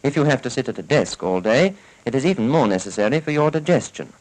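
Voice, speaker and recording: A man's voice: medium pitch, animated, fast talker, a little mellow, rough recording. A man with a British accent giving a formal, instructive scripted narration in the style of a 1940s educational film, on a vintage soundtrack. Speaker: narrator of a public information film.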